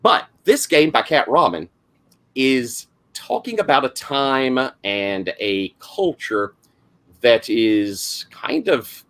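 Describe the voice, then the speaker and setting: A middle-aged man with a heavy Southern accent and a gravelly voice, rambling through a casual introduction to the game he runs as game master, with lots of fillers and restarts. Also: medium pitch, big pitch swings, slow, a bit bright. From South Carolina, US.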